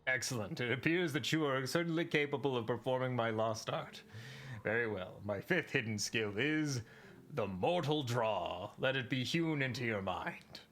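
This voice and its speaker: A man's voice: mid-range voice, lively intonation, moderate pace, fairly bright tone, slight background noise, natural voice. A man voicing a solemn ancient male warrior spirit who mentors, in grave, archaic and formal speech. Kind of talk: voicing a game character while streaming.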